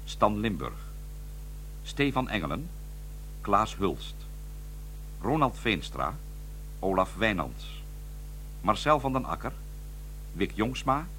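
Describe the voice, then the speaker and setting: A man's voice: on the low side, a bit bright, a little hiss or hum, poor audio. A man announcing the closing credits of a radio drama in a formal way.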